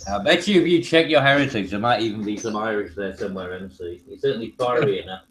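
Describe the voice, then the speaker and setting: A man's voice: lowish voice, quick pace, fairly bright tone, a little hiss or hum. A man co-hosting a casual stream, teasing and sarcastic, laughing often. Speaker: stream co-host. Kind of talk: casual banter.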